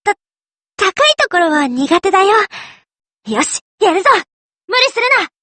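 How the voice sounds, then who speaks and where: High, bright, spirited tomboy girl. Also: big pitch swings, measured pace, no room sound, full character voice. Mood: earnest, upbeat. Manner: short punchy lines, boyish blunt phrasing. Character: spirited tomboyish young girl fighter, earnest and eager. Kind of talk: Japanese anime game character voice lines.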